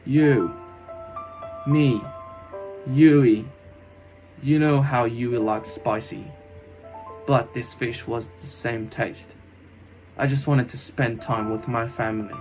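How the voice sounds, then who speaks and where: A man playing an anguished, devoted young swordsman in an amateur anime fan drama, with melodramatic, halting delivery and stilted English over music. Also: mid-range voice, fairly even intonation, darkish tone, close to an everyday voice, rough recording. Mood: grieving.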